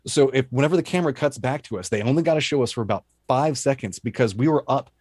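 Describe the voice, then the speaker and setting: A man with a low, even voice talks through his gear in a relaxed, rambling way. He sounds close to a studio microphone in a dry, dead room at home. He is an esports caster chatting on a video call. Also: quick pace, husky, dry recording. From Texas. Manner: easygoing, detailed, rambling explanations. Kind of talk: casual interview chat over video call. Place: home broadcast setup room. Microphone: studio dynamic mic on video call.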